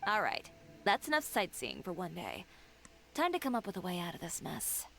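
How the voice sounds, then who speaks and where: A woman's voice: on the low side, wildly swooping pitch, moderate pace, vocal fry, a bit bright, heavily acted. An actress playing an assertive, poised young female fashion designer in a video game, telling things in a self-assured way. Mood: resolute.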